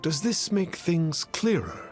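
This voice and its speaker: An actor playing a lofty, mysterious self-styled immortal sage in a game cutscene, speaking in archaic, pompous, cryptic phrases. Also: male voice, a little high, sing-song, takes it slowly, music in the background. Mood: pompous, explanatory. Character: lofty, mysterious man posing as a wise immortal adept. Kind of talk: scripted game cutscene acting.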